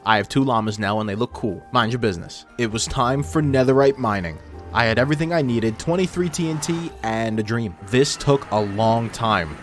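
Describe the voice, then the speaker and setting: A man's voice: medium pitch, expressive, normal speed. Casual male gaming narrator, filtered voice. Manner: informal, wry joking asides. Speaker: gaming video host. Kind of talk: casual scripted gameplay narration. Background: background music.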